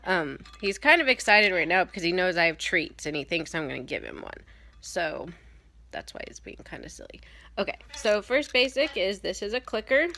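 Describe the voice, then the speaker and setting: A woman's voice: on the low side, big pitch swings, normal speed, pushed and bright. A woman with a cold and a stuffed-up voice, casually explaining parrot training in a rambling way with many ums and self-corrections. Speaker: parrot owner giving a training demo. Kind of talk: casual how-to demonstration.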